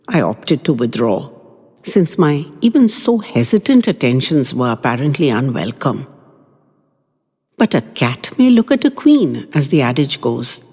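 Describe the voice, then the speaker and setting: A woman reading a first-person love story aloud in an expressive, lively storytelling manner. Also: on the low side, breathy edge. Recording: long reverb with faint guitar music.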